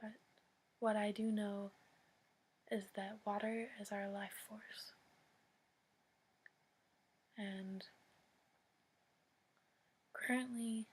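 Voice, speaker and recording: An American woman giving an earnest public address, speaking solemnly and deliberately in short phrases with long pauses. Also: mid-range voice, flat delivery, slightly rough. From United States.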